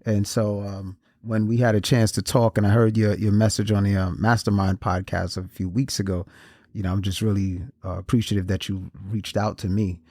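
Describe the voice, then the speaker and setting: A man with a low-pitched voice hosts a podcast interview over a video call. He talks casually and haltingly, often restarting his sentences and repeating words. The sound is dry and close, with no room echo. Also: little pitch movement, a little mellow. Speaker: podcast host.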